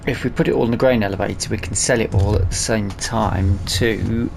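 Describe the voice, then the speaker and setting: A man's voice: lowish voice, fairly even intonation, brisk, gravelly, noisy background. A man giving casual video game commentary, rambling and thinking aloud as he asks himself questions. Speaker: let's play streamer.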